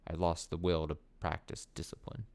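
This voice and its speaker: A man streaming a video game, chatting excitably and reading item descriptions aloud. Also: low-pitched, moderate pace, gravelly, slight background noise. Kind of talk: casual gameplay commentary.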